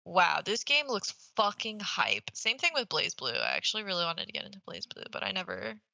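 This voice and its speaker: A woman's voice: mid-range voice, wildly swooping pitch, fairly quick, creaky, bright, forceful tone, dry recording, rough recording. A young adult woman co-hosting a podcast, chatting informally and enthusiastically about games, with casual swearing. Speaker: podcast co-host. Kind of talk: casual gaming chat.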